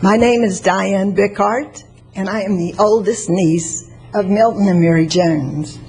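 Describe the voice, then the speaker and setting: A woman in her sixties from Florida with a soft voice, reading a prepared statement at a public hearing. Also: medium pitch, measured pace, breathy edge. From Florida, United States. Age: 60s. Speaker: member of the public giving testimony. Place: public meeting chamber at a podium microphone. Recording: faint background noise.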